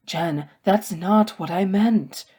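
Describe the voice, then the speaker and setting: An earnest, protective male scholar from a storybook , acted out by a woman reader. He admonishes slowly and with care. His worry shows in a stern, pleading manner. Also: mid-range voice, dark tone, slightly rough.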